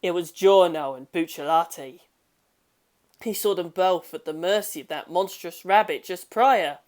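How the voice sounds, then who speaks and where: A woman narrates a dramatic tale in a slightly low-pitched voice. She reads from a script in the style of an audiobook storyteller. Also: unhurried, darkish tone. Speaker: audiobook narrator of fan fiction.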